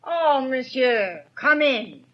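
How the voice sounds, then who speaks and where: A woman's voice: sits high, sing-song, takes it slowly, full character voice. An actress playing a greedy, bullying innkeeper's wife in a 1930s radio drama, scolding those beneath her and fawning on guests. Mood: fawning, calculating. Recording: dull vintage 1930s radio recording.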